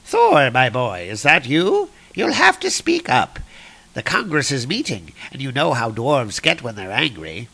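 A man's voice: mid-range voice, wildly swooping pitch, a little slow, gravelly, pushed and bright, slightly rough, exaggerated voice. A man voicing a regal, motherly goddess queen who frets and fusses, as part of a comic-book story. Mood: distracted fussing.

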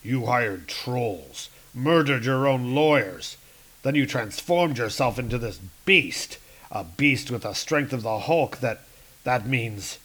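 A man's voice: mid-range voice, lively intonation, slow pace, a bit bright. A man doing a defiant thunder god. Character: proud male thunder god, heroic and defiant.

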